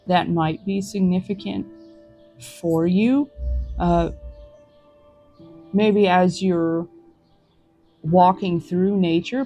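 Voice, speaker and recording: A woman hosting a tarot reading, talking to viewers in a gentle, reflective, encouraging way. She is recorded outdoors in a forest. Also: mid-range voice. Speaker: tarot reader.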